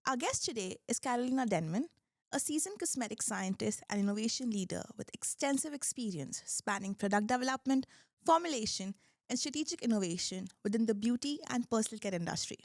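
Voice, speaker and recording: A woman's voice: fairly high pitch, lively intonation, brisk, dry recording, clean studio sound. A young adult woman hosts a podcast, reading a scripted intro and then asking questions in an upbeat, encouraging manner. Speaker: podcast host. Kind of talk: podcast interview hosting.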